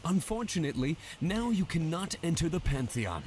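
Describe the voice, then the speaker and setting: A man plays an amused, gloating god in a visual novel game. He is theatrical and mock-apologetic, his pitch swinging widely. Music is clearly heard beneath him. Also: very animated, moderate pace, a little mellow. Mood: gloating amusement.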